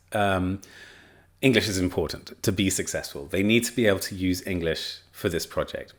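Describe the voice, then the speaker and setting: A man presenting a research study in a casual spoken lecture, chatty and enthusiastic, with frequent fillers and restarts. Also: on the low side. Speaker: teacher trainer presenting a study.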